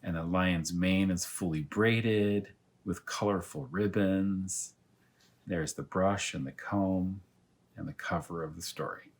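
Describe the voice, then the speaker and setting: A man reading a children's story aloud as a teacher of young pupils, in animated narration. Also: low-pitched, slow.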